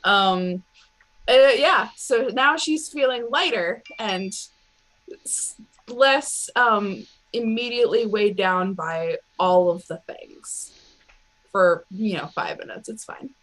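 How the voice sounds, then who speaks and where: A woman player on a tabletop game show giving a casual, halting recap as she searches for words. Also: medium pitch, fairly bright tone, low-quality recording.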